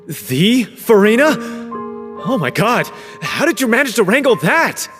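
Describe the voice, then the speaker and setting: A weary male troupe member talks at a very slow, drawn-out pace and a middle pitch. A long reverb hangs on his voice, and music sits clearly underneath. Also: big pitch swings. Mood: astonished. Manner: slow and wistful. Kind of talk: game cutscene dialogue. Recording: reverberant game mix with music.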